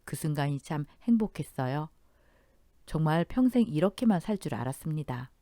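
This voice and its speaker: A woman narrating a scripted first-person story in a gentle, polite storytelling manner. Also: low-pitched, animated, normal speed, no room sound.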